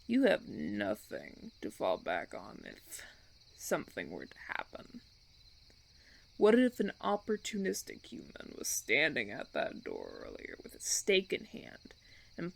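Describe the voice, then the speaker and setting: A suave vampire recruiter, acted by a man for an intimate audio roleplay. He persuades with deliberate, theatrical care and veiled menace. His pitch swoops dramatically and often settles into a gravelly creak. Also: huge pitch swings, a bit bright, exaggerated voice. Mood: ominous concern. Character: suave menacing male vampire recruiter, smooth-talking and patronizing. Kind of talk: audio roleplay, ASMR monologue.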